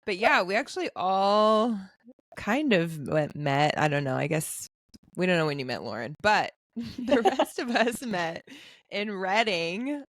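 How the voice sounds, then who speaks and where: A woman's voice: medium pitch, expressive, measured pace, no echo, clean studio sound. A woman hosting a podcast in a casual chat, teasing her guests and laughing often. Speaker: podcast host.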